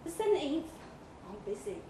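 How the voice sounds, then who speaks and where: Woman acting a cheeky, high-pitched young lad. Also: normal speed, distant mic. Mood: protesting. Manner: slangy, teasing, excitable. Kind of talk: live short story reading. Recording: roomy live pub recording with noise.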